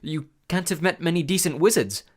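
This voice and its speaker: A woman voicing a nervous, polite schoolboy wizard who talks in a hushed, hurried way. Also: on the low side, slightly rough, voice pushed up.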